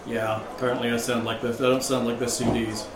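A man's voice: medium pitch, level tone, fast talker, background noise. A man with an English accent who jokes around during a tabletop game. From England. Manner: joking, playful. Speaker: player. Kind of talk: casual chat at a tabletop game. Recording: echoey room with crowd chatter.

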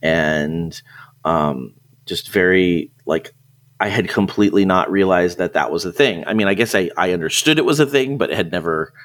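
A man's voice: low-pitched, moderate pace, a bit bright. A man co-hosting a podcast in casual conversation, often repeating words and restarting as he finds his phrasing. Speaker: podcast co-host.

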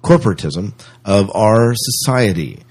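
Man, low-pitched, husky, casual podcast host, rambling, self-rephrasing. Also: expressive, a little slow, a little mellow. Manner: rambling, repeats and rephrases himself.

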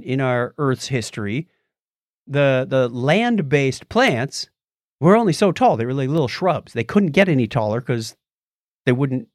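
A man's voice: medium pitch, no room sound, clean studio sound. A man hosting a podcast, chatting casually and explaining science news in a conversational way. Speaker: podcast host.

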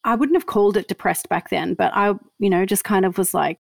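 An Australian woman in her fifties whose accent carries a faint Canadian tinge. She tells her stories with plenty of laughter and jokes at her own expense. Her voice sits at a medium pitch and sounds close and dry, with no room around it. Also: brisk, creaky, no room sound. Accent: Australian with a slight Canadian tinge. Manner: animated storyteller, laughs often, self-mocking. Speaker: guest. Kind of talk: casual interview chat.

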